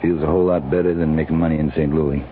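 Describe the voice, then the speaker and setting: An actor playing a grim, steady, laconic cavalry captain in a 1950s radio western. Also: male voice, a bass voice, fast. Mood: quiet, resolved. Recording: tinny, noisy old radio recording.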